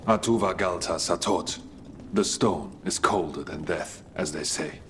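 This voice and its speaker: Low, solemn dwarf guide. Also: male voice, a bit bright, a little hiss or hum. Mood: solemn, grave. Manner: formal, measured, cryptic phrasing. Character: solemn, guarded male dwarf guide of an underground city. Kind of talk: video game voice acting. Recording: music behind the voice. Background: game soundtrack music.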